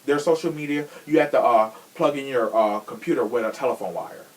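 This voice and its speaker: A man's voice: medium pitch, fairly quick, low-quality recording. Chatty, sassy man hosting a podcast. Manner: chatty, sassy, slangy, emphatic. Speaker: podcast host. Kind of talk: casual opinionated chat.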